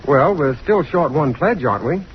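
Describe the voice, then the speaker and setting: A dull, faintly noisy old radio recording carries a man acting as a genial young fraternity brother. His voice sits fairly high and moves around a lot in pitch. He talks in breezy college slang with smooth, glib ease, in the manner of vintage radio drama. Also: lively intonation, normal speed, natural voice. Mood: casual, persuasive.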